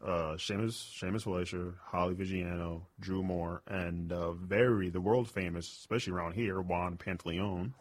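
A man's voice: fairly even intonation, normal speed, hardly any pauses. A man, a stand-up comedian, chatting casually as an interview guest, rambling with lots of "like" and "you know". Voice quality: booming voice.

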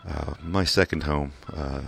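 A man with a Kentucky accent, hosting a community radio music show, chatting in a relaxed, rambling way with plenty of fillers between records. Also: very deep voice, unhurried, creaky. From England. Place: community radio station studio.

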